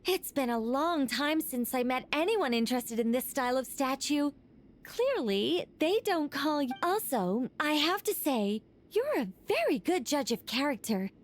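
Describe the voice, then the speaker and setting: A woman's voice: sits high, very animated, unhurried. A woman playing a genteel, modest but enthusiastic lady sculptor in a video game, speaking coyly and expressively. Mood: pleased. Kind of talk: video game character acting.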